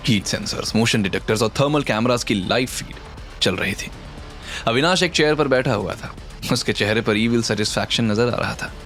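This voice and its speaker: A man's voice: medium pitch, moderate pace, fairly bright tone. Male audio drama narrator over music. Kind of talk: dramatic scripted story narration. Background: background music.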